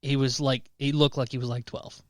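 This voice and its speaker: A man's voice: medium pitch, level tone, brisk. A man co-hosting a casual podcast, defensive and profane, protesting a friend's teasing. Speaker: podcast co-host. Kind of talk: casual banter. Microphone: studio mic with headphones.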